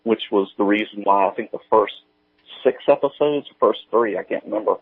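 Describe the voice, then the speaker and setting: A man speaks as a guest in a casual interview, hesitant and halting. His voice sits slightly low, heard dry through a narrow, tinny, phone-like connection. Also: husky, no echo.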